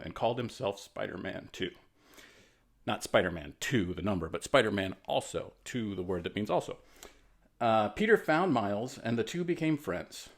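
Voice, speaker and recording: American man hosting an informal storytime. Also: mid-range voice. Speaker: writer reading his own children's story aloud. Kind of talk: informal storytime read-aloud with chatty asides.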